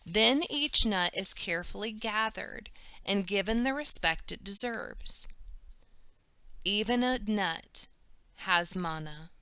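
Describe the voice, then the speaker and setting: A woman's voice: medium pitch, pushed and bright, clear, resonant tone, no room sound. A woman teacher reading a children's novel aloud, careful and emphatic, doing voices for the characters.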